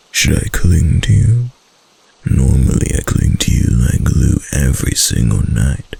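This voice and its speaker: A man voicing a doting, obsessive kitsune husband in an intimate ASMR roleplay, breathy and gravelly, with hesitant, coaxing endearments. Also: extremely low pitch, mellow, exaggerated voice. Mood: anxious, coaxing. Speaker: voice actor in a roleplay.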